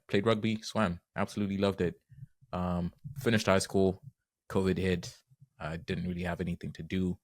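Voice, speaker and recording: Young man from zimbabwe, very deep voice, easygoing and earnest, dry close recording. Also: dry recording. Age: young adult. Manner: easygoing, earnest, many "you know" fillers. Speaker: guest, penetration tester. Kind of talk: casual podcast interview.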